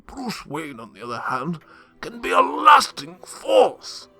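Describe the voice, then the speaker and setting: A man's voice: high voice, huge pitch swings, slow, pushed and bright, heavily acted. A man playing a dry, sardonic, loyal butler and mentor in a radio drama, speaking formally with wry admonishment. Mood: earnest admonishing.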